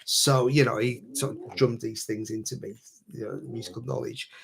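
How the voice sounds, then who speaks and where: A man's voice: medium pitch, steady tone, moderate pace, gravelly, a crowd in the background. A man hosting a live stream, asking questions with many fillers and repeated words. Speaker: host, guitar teacher. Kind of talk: casual live stream chat.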